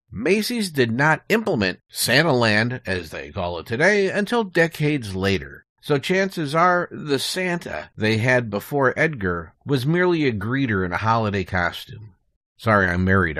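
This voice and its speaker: Guy guest on a podcast explaining history, really lively up and down pitch, dry close booth sound. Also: no echo. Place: small recording booth.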